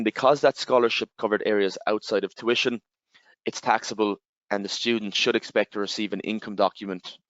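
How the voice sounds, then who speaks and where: A man with an Irish accent, giving a webinar presentation and explaining step by step, with steady fillers and repeated "again". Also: lowish voice, no echo. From Ireland. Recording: slightly dull, dry webinar audio.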